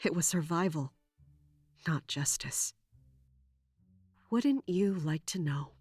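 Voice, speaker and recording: A woman's voice: low voice, animated, takes it slowly, a little mellow, slightly rough, dry recording, faint music behind, exaggerated voice. A woman playing a guarded, resolute captain in an audio drama, terse, grave and introspective as she narrates and speaks. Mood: somber, reflective. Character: guarded female royal guard captain, self-blaming, resolute. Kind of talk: first-person dramatic narration and acted dialogue.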